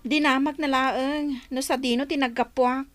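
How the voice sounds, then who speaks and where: Very high-pitched, weary young wife played by a woman. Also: steady tone, normal speed, no echo, soft background music, close to an everyday voice. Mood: defiant. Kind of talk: Ilocano audio drama acting.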